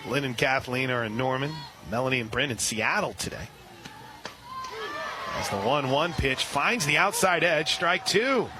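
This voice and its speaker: A man calling a softball game live on radio, steady between pitches and reading listener shout-outs. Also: measured pace. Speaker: radio play-by-play announcer. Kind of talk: live radio sports commentary.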